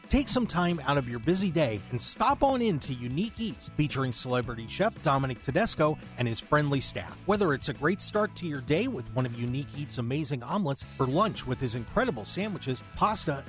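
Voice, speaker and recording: A man hosting a radio show in a casual sports-talk style. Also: medium pitch. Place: radio studio.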